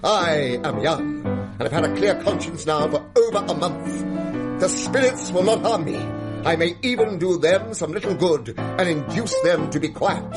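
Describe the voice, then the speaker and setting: An English actor playing a pompous, self-righteous young Victorian gentleman in a radio drama, speaking with mock-heroic dignity. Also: fairly high pitch, lively intonation, measured pace, over loud music. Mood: heroic bravado. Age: young adult. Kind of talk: radio drama narration and dialogue.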